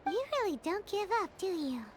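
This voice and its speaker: A woman's voice: animated, normal speed, noisy background. A woman voicing a tiny, blunt, teasing female fairy companion in a game. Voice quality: squeaky, bright. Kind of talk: game voice-over.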